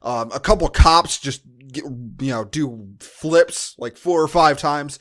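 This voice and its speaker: A man with a voice a little on the high side hosts a podcast. He recaps and reviews in a loose, conversational style, as if chatting with a friend. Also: slow. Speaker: podcast host. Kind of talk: casual movie-review chat.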